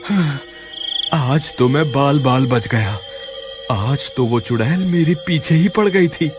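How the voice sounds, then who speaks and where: A man's voice: medium pitch, slow pace, natural voice. A man playing a jumpy, fretful village woodcutter in a horror cartoon, given to exclaiming. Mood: reassuring. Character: frightened village woodcutter, a husband, jumpy but stubborn. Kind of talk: Hindi horror cartoon dialogue. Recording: music behind the voice.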